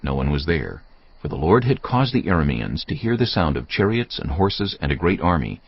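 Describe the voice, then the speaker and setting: A man giving solemn, measured scripted narration for a dramatised audio Bible. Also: rumbling low, sing-song, vocal fry, husky. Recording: dull, muffled audio over music.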